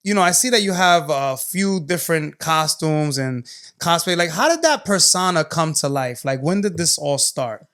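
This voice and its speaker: A man's voice: a little high, expressive, moderate pace, fairly bright tone. Male podcast host from New England, casual, chatty interviewer. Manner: casual, chatty, filler-heavy questions.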